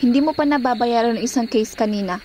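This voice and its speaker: Woman playing a high-voiced neighbourhood storekeeper, noisy recording. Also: fast, warm tone, lightly acted. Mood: annoyed. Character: kindly neighbourhood woman storekeeper, firm with customers. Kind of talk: amateur radio drama acting. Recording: noisy homemade recording with clicks.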